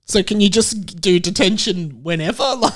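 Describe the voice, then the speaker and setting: A man's voice: high-pitched, normal speed. Joking, laugh-prone man chatting casually. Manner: joking, riffing, laughs readily. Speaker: podcast guest. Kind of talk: casual comedy chat.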